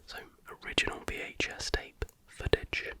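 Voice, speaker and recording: A British ASMR host whispering casual, chatty reaction commentary in a hushed, informal, rambling way. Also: normal speed.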